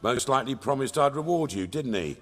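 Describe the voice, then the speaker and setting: A self-assured underworld boss played by a man in a fantasy game, blunt and offhand. His middle-pitched voice rings with long reverb, as in a big hall. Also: animated, brisk, lightly acted. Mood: curt, knowing. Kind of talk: video game dialogue acting.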